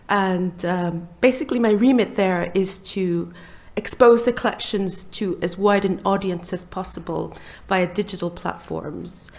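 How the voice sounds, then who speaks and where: A woman in her forties speaking as a panelist, earnest and measured, with frequent hesitations. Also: on the low side, creaky. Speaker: library learning officer on a panel. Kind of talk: live panel discussion talk.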